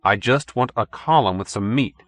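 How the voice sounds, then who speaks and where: A man's voice: lowish voice, normal speed, no room sound, natural voice. A man voicing an exasperated managing editor, brusque, bossy and skeptical, in an audiobook story.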